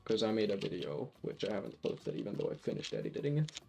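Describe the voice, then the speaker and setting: A man's voice: lowish voice, big pitch swings, fast talker, creaky, a little mellow, soft background music. A man from Finland with a light Finnish accent, chatting casually while playing a game on a live stream, rambling with many restarts. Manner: rambling, lots of restarts and fillers.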